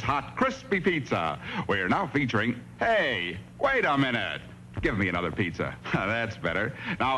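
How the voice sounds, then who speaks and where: A man's voice: mid-range voice, pushed and bright, slight background noise. An exuberant American man announcing a vintage drive-in intermission advertisement. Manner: exuberant salesman.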